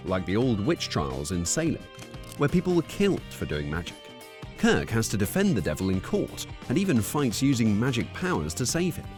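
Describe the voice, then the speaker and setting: A man's voice: medium pitch, a little mellow. A man reading scripted narration for a countdown video. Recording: music under the voice.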